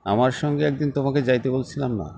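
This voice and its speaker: A man's voice: mid-range voice, fast talker, creaky, darkish tone, slight background noise, close to an everyday voice. A man acting as a persistent office boss in a phone-call drama, coaxing and reassuring in a conversational way. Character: persistent male office boss coaxing a reluctant female employee.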